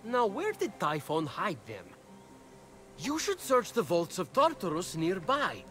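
Casting: fussy young messenger god for a game, high and animated, snarky, theatrical. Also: male voice, measured pace, faint music behind, exaggerated voice. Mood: urgent, sassy. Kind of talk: video game cutscene acting.

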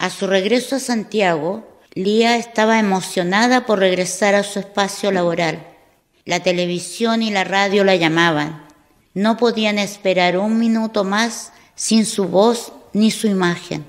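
A woman's voice: mid-range voice, unhurried, lightly acted. A woman narrating a radio drama as a female shorebird who witnessed the story. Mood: reflective.

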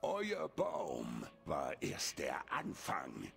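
A haughty undead villain with an extremely gravelly voice. He is menacing and cruel in video game style, dragging his words out very slowly. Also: extremely low pitch, huge pitch swings, a bit bright, faint music behind, full character voice. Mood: cruel gloating. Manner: threatening, haughty.

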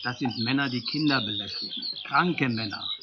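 A man's voice: mid-range voice, normal speed, lightly acted. A male actor playing a father in a family radio play. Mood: earnest warning. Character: father, drowsy at play, earnest when warning children. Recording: dull lo-fi cassette, loud noise. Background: birdsong, outdoor ambience.

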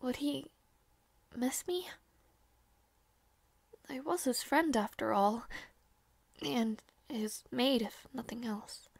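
A woman playing a devoted, anxious young maid in an audio roleplay, speaking softly and politely with halting hesitation. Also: fairly high pitch, vocal fry, husky, heavily acted. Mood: sad, wistful.

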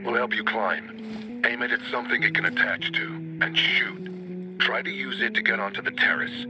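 An actor playing a weary, middle-aged washed-up drunk leader in a video game. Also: male voice, on the high side, big pitch swings, moderate pace, music in the background, poor audio. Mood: instructive. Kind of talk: dramatic video game acting.

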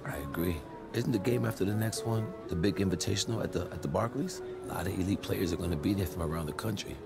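Deep-voiced middle-aged American basketball fixer. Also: male voice, fast talker, vocal fry. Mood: matter-of-fact. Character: weathered middle-aged male basketball fixer, earnest and persuasive. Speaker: basketball agent and former college recruiter. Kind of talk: video game cutscene acting. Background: music.

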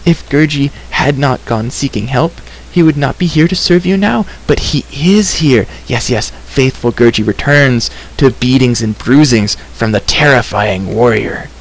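A man voicing a small shaggy creature from a storybook, whimpering, grovelling and self-pitying in drawn-out wails. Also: medium pitch, pitched above the performer's own voice, lightly acted, low-quality recording. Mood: wailing, fawning. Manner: whimpering, wailing, drawn-out rhyming third-person speech. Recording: clear background hiss.

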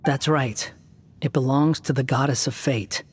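A man's voice: mid-range voice, steady tone, measured pace, a little mellow, slight background noise, natural voice. An actor playing an aloof, guarded young male wanderer in an anime game, terse and composed. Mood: matter-of-fact.

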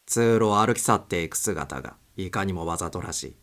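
A man's voice: low-pitched, expressive, a little fast, a bit bright. A man narrating a suspense story as a dramatic audiobook reading.

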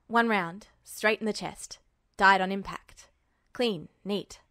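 A woman's voice: mid-range voice, slow pace, pushed and bright, dry recording, studio quality, pitched below the performer's own voice. A woman voicing a matter-of-fact male town police officer with plain, clipped speech, in a story reading.

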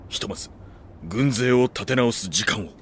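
A man's voice: mid-range voice, moderate pace, noisy background, lightly acted. An actor playing a stern, protective samurai retainer in an anime audio drama, speaking firmly and formally to his lord. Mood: firm, dutiful. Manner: firm, formal, gruffly deferential. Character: stern, loyal samurai retainer, protective right-hand man.

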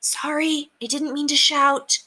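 A woman's voice: high voice, level tone, measured pace, bright, forceful tone, voice pushed up. A woman voicing an eager, imaginative, polite young boy in a storybook. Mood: apologetic.

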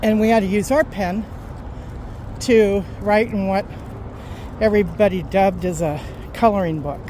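A middle-aged woman truck driver chatting casually on a vlog while walking her dogs, rambling and unhurried, recorded on a phone outdoors. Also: medium pitch, mellow. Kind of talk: casual walk-and-talk vlog. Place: outdoors by a road and parking lot. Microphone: phone held while walking. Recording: outdoor phone audio with traffic noise. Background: passing cars and outdoor ambience.

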